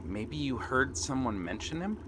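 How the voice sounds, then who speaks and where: A man acting a friendly, eager young pirate prince in an earnest, gentle ASMR roleplay with pauses. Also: mid-range voice, big pitch swings, noisy background. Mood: wary, puzzled. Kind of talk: ASMR roleplay acting, one-sided dialogue. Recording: water and ambient sound effects behind. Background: seaside ambience, water sounds.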